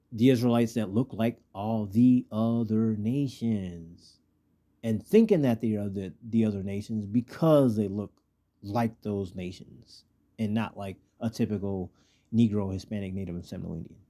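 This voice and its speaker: Dark-voiced American man preaching informally. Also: lowish voice. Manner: colloquial, street slang and profanity. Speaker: religious teacher. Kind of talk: informal preaching with scripture readings.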